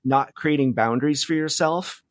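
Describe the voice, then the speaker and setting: A man speaking as an interview guest in casual conversation, reflective and halting, often restarting his thoughts. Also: fairly high pitch, dry recording, clean studio sound. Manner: halting, reflective, many false starts. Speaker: podcast guest, media founder and journalist.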